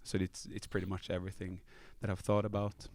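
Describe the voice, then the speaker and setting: Male podcast guest, musician from Sweden with Swedish as first language. Low pitch, casual and joking, close dry sound. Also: completely flat delivery, brisk, darkish tone, dry recording. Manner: joking, laughs often. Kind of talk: casual studio interview. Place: podcast studio.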